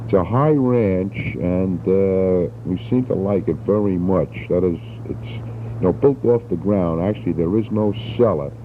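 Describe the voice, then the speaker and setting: A man's voice: low voice, measured pace. A thin, narrowband old home tape carries a middle-aged man. He talks in a folksy, rambling way, as if chatting to family. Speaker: father recording a taped letter. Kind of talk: casual spoken letter to family. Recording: muffled, noisy 1960s home cassette tape.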